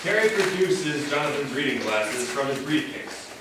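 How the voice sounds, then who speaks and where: Echoey distant recording, man, slightly high bright voice, staged comedy reading. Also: lively intonation, moderate pace. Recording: echoey room, distant mic, faint noise.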